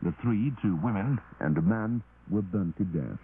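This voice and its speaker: A man reading a formal scripted news bulletin with measured enunciation, on a 1980s shortwave radio broadcast. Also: lowish voice. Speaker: radio newsreader. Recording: tinny, noisy 1980s shortwave radio recording.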